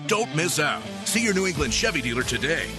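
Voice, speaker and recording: A man's voice: on the high side, big pitch swings, brisk. A man reading a scripted car commercial as an announcer. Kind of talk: scripted car dealer ad read. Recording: music bed behind the voice.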